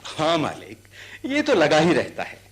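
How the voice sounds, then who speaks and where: A man's voice: on the high side, unhurried, breathy edge, full character voice. An actor playing an earnest, servile male elephant catcher who tells his tales vividly in a radio drama. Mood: excited recounting. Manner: servile address, vivid excited recounting. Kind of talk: Hindi radio drama acting.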